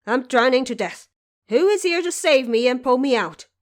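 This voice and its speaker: A woman's voice: sits high, lively intonation, normal speed, voice pushed up. A woman voicing a shaken, imperious male emperor in an audio drama. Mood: panicked. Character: drowning, panicked emperor, imperial and dazed, man.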